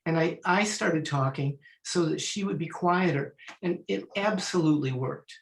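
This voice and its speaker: A man in his sixties, a Feldenkrais teacher and musician, chatting in an online panel, telling stories and clearing his throat now and then. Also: a little high, normal speed, echoey room, low-quality recording. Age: 60s. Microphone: video call.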